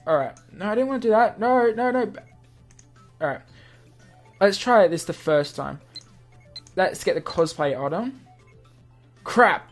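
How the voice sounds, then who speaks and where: A game channel host with a medium-pitched voice plays a visual novel and comments casually. The delivery is easily amused and thinks out loud as it goes, with music playing behind. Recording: music under the voice.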